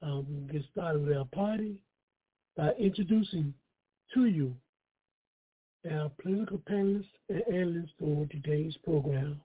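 A male radio host opens a political talk show in a fairly high, husky, slightly hoarse voice. He goes phrase by phrase with deliberate emphasis, over a narrow phone-like line.

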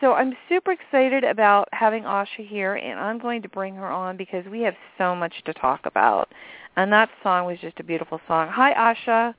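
A woman hosting an internet talk radio show, upbeat and chatty, a little raspy and tired from allergies. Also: mid-range voice, expressive, moderate pace, no room sound. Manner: upbeat, enthusiastic, some filler ums. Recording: phone-line call-in radio audio.

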